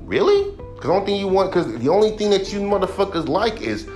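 Male podcast host, 30s, rapper; blunt profane rant, self-described monotone delivery, faint music bed. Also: high voice, fast. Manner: blunt, heated, heavy swearing.

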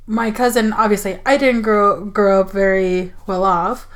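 A woman's voice: mid-range voice, fairly even intonation, a little slow. A young adult woman from the Mexico border area, chatting casually about a film with frequent likes and restarts. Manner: hesitant, many likes and restarts. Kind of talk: casual film review chat.

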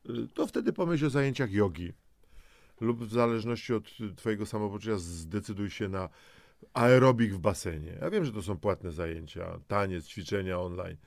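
Man, lowish pitch, crackly gravel, dry close sound, instructive, coaxing, motivational audio host. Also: animated, moderate pace, dry recording. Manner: coaxing, instructive, with rhetorical questions. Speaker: host of a health and lifestyle audio programme. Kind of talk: semi-scripted motivational narration addressed to the listener.

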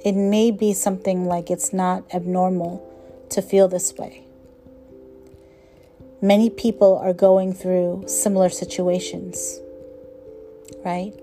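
A woman guiding a meditation with slow, gentle instruction in a soothing, measured manner, letting phrase ends trail softly. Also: medium pitch, flat delivery, faint music behind. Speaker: meditation guide.

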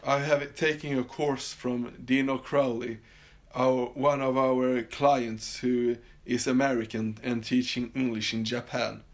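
Swedish-accented male podcast host, halting and filler-heavy, average pitch. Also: little pitch movement. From Sweden. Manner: halting, many fillers and restarts. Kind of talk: casual solo podcast monologue. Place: summer house.

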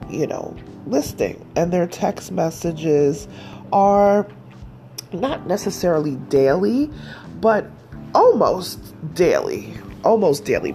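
A woman's voice: deep voice, mellow. A woman hosting her solo podcast, chatting casually with a stuffy nose and lots of fillers. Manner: chatty, rambling with many fillers. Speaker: podcast host.

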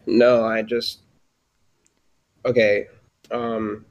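A man's voice: lowish voice, level tone, extremely slow, dull audio. A man who teaches, co-hosting a podcast and chatting casually on a voice call with brief, laid-back replies.

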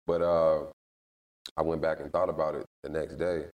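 A man with a deep voice and an American accent, a recording artist chatting as a guest in a laid-back, slangy way. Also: level tone, moderate pace, a little mellow, distant mic. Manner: laid-back, slangy, lots of "you know what I mean". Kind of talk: casual interview chat.

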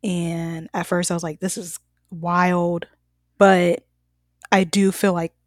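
A woman's voice: lowish voice, slow pace, dry recording, studio quality. A young woman from the American South co-hosting a podcast, telling stories candidly and with animation. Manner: candid, animated storytelling with bursts of laughter. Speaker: podcast co-host. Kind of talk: casual chat.

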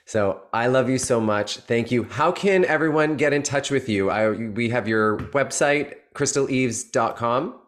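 Brief: male host, mid-pitched, effusive and gushing, casual chat. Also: unhurried, few pauses. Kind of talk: casual podcast conversation.

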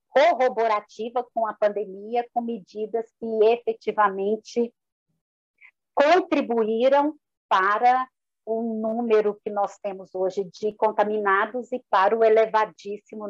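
A woman with a Brazilian accent giving formal, measured political analysis as a panelist, articulate and orderly. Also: mid-range voice, steady tone, no echo, muffled recording. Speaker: panelist in an online debate. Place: indoor room, live online debate.

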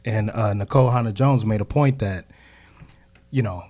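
A man's voice: fairly low pitch, a little slow, mellow. A man in his thirties in a casual group discussion, building reasoned points with frequent hedges. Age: 30s.

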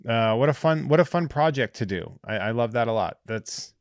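A man's voice: mid-range voice, lively intonation, normal speed, no echo. Casual male podcast host, close dry sound. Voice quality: slight lisp. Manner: informal, slangy, effusive. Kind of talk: casual chat and plugs.

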